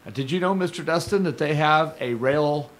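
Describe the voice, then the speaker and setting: An older man hosting a community radio show from a studio, talking casually in a rambling, digressive way while his voice is going hoarse. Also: a little high, normal speed. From Stockton, California. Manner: rambling, digressive, self-correcting. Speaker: radio host. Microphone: studio microphone.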